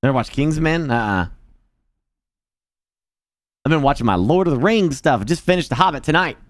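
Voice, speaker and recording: A man in his forties talks casually to his viewers with a lively, swooping delivery, slightly higher than most men. His voice is close and dry, with no room sound around it. He jokes about himself with animated energy. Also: moderate pace, no room sound. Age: 40s. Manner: joking, animated, self-mocking. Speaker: streamer.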